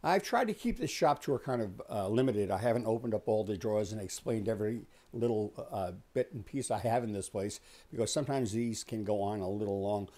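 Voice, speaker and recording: Low, mellow older man explaining casually. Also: a little fast, few pauses. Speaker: host of a woodworking shop tour. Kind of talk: casual explanatory tour talk. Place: small wood-panelled workshop, indoors.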